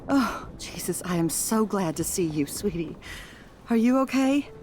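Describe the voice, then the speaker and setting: A woman's voice: medium pitch, lively intonation, measured pace, a little mellow, a little hiss or hum, close to an everyday voice. An actress playing a caring, no-nonsense middle-aged American diner woman in a video game, motherly and bossy. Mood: relieved, worried.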